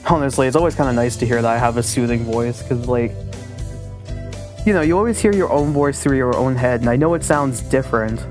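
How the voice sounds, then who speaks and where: A man's voice: medium pitch, fairly quick, darkish tone, soft background music. A man chatting casually to his live-stream audience in a rambling, self-deprecating way.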